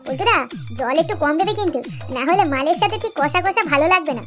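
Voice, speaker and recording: A very high, childlike cartoon voice for a mischievous village youth. He rattles off crude, slangy banter at a quick pace, cocky and bickering, with light music underneath. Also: lively intonation, fairly bright tone. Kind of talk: comic cartoon dialogue.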